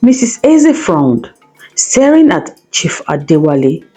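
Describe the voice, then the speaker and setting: A woman narrates a scripted folktale in a soft, mellow tone. Her delivery moves up and down with plenty of expression, and her voice has a slightly husky grain. Music plays behind the storytelling. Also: low-pitched, sing-song, slow. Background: background music.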